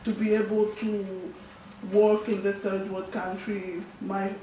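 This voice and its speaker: Young woman from Burundi, Swahili first language, soft-spoken, halting, distant mic, very echoey school hall. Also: mid-range voice, level tone, slight background noise. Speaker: guest speaker. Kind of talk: answering audience questions.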